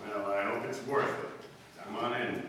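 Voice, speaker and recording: An actor playing a dry, easygoing gentleman in a live radio drama, with a wry, understated delivery. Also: on the low side, fairly even intonation, normal speed. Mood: dry, amused. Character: C K Dexter Haven, dry, easygoing gentleman host. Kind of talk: live old-time radio stage acting. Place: live stage performance in a hall. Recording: very echoey hall, distant microphone, background noise.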